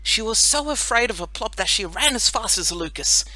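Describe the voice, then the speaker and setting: A woman narrating a children's audiobook in an expressive storytelling style. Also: fairly high pitch, moderate pace, no echo, poor audio.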